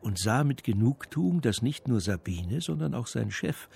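A man narrating a radio crime drama in measured, long flowing sentences, with a slightly gravelly voice. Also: medium pitch, lively intonation, a little mellow, exaggerated voice. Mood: composed, wry. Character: composed narrator of a crime story. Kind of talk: scripted third-person radio drama narration. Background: music.

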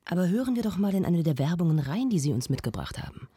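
An actress playing an intrigued, reverent female culture presenter in a radio play, with a soft, husky, creaky voice. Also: fairly low pitch, sing-song, fast. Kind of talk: radio play acting as an interview.

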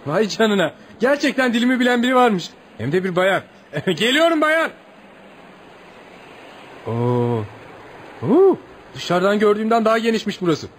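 A man's voice: sits high, big pitch swings, normal speed, pauses often. A man acting in a radio play as an eager, stubborn American travel writer, chatty and exclamatory. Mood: curious, polite. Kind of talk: radio play acting with spoken-aloud thoughts. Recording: clearly noisy radio drama recording.

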